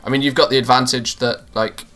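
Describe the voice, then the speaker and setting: A man's voice: mid-range voice, fairly even intonation, fairly quick, bright, forceful tone. Rambling male streamer, faint music behind. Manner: rambling, many restarts and fillers. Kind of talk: casual live-stream chat.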